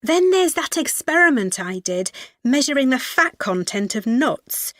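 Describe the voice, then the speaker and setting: Female university student, scripted study discussion, matter-of-fact, highish voice, close dry sound. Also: big pitch swings, unhurried, a bit bright, dry recording, exaggerated voice. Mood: studious, matter-of-fact. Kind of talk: scripted educational dialogue.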